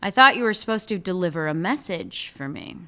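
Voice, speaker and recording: Casting: a woman as a sarcastic top cop, bright clear voice, animated delivery. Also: lowish voice, brisk, exaggerated voice. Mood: guarded. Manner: dry, sarcastic. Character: sardonic female police chief. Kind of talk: radio play acting.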